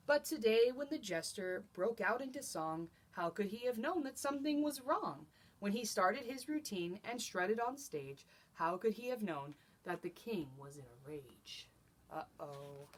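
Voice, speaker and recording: Expressive woman reading a children's storybook. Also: mid-range voice. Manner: rhythmic, dramatic emphasis. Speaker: teacher reading aloud.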